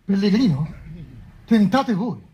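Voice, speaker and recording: A man's voice: sits high, sing-song, slow, mellow, husky, lo-fi sound, full character voice. A man acting a troubled, solemn pope in a theatrical stage drama, indignant and fearful of conflict. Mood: grave, pleading. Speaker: stage actor and narrator. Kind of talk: theatrical Italian stage monologue. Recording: live theatre piece with music.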